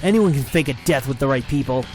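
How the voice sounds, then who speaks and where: A man acting a smug, whiny, insolent young bully in an animated drama, with a sneering delivery. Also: mid-range voice, quick pace, faint music behind, natural voice.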